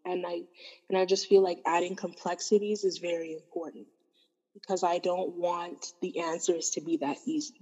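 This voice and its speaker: A woman's voice: fairly low pitch, little pitch movement, moderate pace, mellow, slightly rough, big-room sound. A woman from Canada talking in a casual discussion, earnest and thoughtful, with many fillers and restarts. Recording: dull, hollow-sounding recording.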